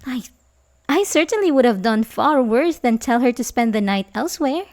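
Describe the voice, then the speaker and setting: A woman's voice: fairly high pitch, expressive, moderate pace, a little mellow. A woman playing an obsessive, manipulative young babysitter who feigns sympathy, in an intimate, hesitant ASMR roleplay style. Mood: coaxing, eager. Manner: hesitant, stammering, nervous chuckles, sighs. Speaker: audio roleplay performer.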